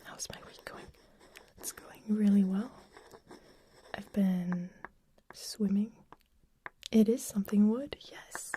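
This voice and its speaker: A young woman whispering softly as she hosts an ASMR livestream, chatting with viewers while making trigger sounds. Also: medium pitch, fairly even intonation, slow, long pauses, a little hiss or hum.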